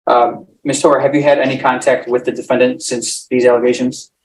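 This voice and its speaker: A man's voice: mid-range voice, level tone, brisk. Man prosecutor questioning, slightly distant. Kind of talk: courtroom questioning. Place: courtroom. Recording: distant, faintly noisy courtroom audio.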